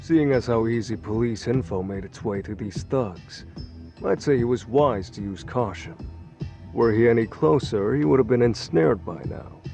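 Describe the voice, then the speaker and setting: A man's voice: mid-range voice, expressive, vocal fry, mellow. An actor playing a guarded, stern man of a secretive underworld group, speaking formally and measuredly in a game dub. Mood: measured, informing. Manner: measured, formal, coolly forbidding. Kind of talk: English dub acting for a game cutscene. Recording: faint music behind the voice.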